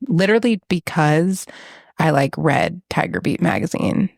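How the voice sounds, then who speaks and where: A woman in her thirties co-hosts a relaxed podcast chat, her voice sitting a little low with a gravelly edge. She jokes about herself and laughs easily. The sound is dry and close. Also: unhurried, dry recording. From New England. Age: 30s. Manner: chatty, self-deprecating, laughs often. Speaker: podcast co-host. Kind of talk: casual chat.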